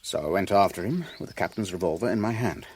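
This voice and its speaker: An actor in a radio drama playing a cornered Levantine businessman, formal and measured, defending himself with wounded dignity. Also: sits low, darkish tone, a little hiss or hum, lightly acted. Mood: defensive.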